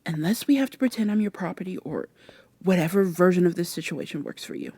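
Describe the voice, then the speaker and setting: Sharp-tongued human captive girl played by a woman, ASMR fantasy drama; dry, close sound, soft but sarcastic delivery. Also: lowish voice, fast talker, no room sound. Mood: tense, defiant. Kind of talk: soft, breathy ASMR roleplay acting.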